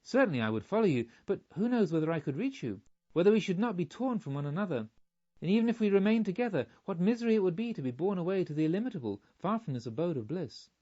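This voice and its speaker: A man's voice: sits high, very animated, fast, warm tone, pitched above the performer's own voice. A man reading a storybook, voicing an anxious, loving male pilgrim in earnest, formal, emphatic speech on a slightly muffled recording. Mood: fearful, pleading. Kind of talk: audiobook storytelling.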